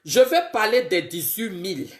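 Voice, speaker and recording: A woman who has a bad cold, greeting her viewers one by one on a casual live video and talking in a halting way with many fillers. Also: medium pitch, sing-song, echoey room. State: congested with a cold, sniffly and tired. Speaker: political live streamer.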